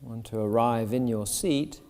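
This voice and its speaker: A man leading a guided meditation as a yoga teacher, speaking soothingly and deliberately in short phrases with pauses. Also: medium pitch, darkish tone. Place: yoga shala with a seated group. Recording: long reverb of a large hall.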